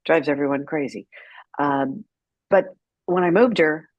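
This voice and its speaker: A woman's voice: low voice, measured pace, no echo. A middle-aged American woman speaks as an interview guest, candid and wry, telling stories conversationally. The audio is a little dull and very dry, with hardly any room around the voice. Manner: candid, wry, animated retelling with quoted dialogue. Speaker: interview guest, caregiver advocate. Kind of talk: conversational storytelling.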